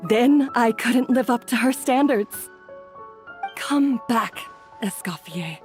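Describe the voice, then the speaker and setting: A woman's voice: on the high side, lively intonation, slow, a little mellow, soft background music. A woman playing a self-doubting young head chef in a video game, earnest and self-deprecating. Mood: remorseful.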